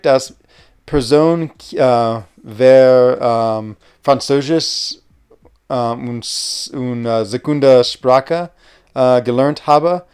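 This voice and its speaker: Smooth-voiced American man streaming casually. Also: medium pitch. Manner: rambling, chatty, hesitant with fillers. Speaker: gaming livestream host. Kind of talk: casual live-stream chat while playing.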